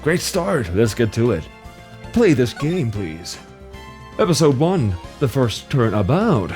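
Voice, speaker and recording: Slow-paced, playful game reader. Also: mid-range voice, sing-song, a little mellow, faint music behind. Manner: playful, sweary asides. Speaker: game player reading all parts. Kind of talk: casual let's play with dramatic reading.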